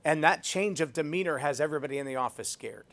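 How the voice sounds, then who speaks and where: A man's voice: on the high side, lively intonation, quick pace. A man hosting a leadership podcast, talking emphatically and repeating phrases for effect as he tells a personal story. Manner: self-described loud voice. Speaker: podcast host.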